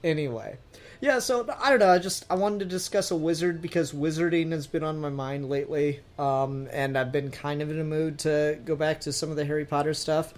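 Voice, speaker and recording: A man's voice: fairly high pitch, steady tone, a little fast. A young adult man hosting a podcast in casual chat, with teasing, sweary banter. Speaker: podcast host.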